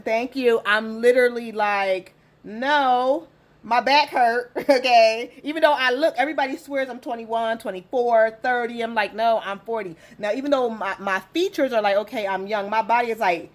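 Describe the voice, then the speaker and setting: A woman in her forties hosting a casual chat, telling stories with lively quoted voices and frequent laughter. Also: a little high, normal speed. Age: 40s. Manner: animated storyteller, acts out quotes, laughs often. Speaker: host.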